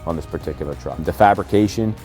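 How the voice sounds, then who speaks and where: A man's voice: sits low, quick pace, warm tone, faint music behind. An American man, a fire department deputy chief, explaining equipment in a plainspoken, methodical, technical way during a walk-around interview.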